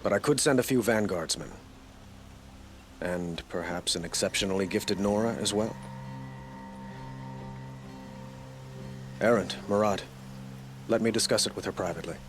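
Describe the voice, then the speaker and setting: A man playing an earnest, troubled young king in a video game, speaking in a measured, courtly, formal way. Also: fairly low pitch, over music, close to an everyday voice. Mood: regal, resolute. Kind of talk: video game cutscene acting.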